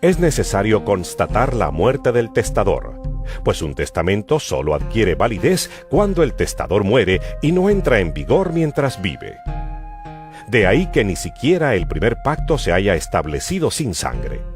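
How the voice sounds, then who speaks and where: Deep, expressive male Bible narrator. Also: a little slow. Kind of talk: dramatized scripted scripture narration. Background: music.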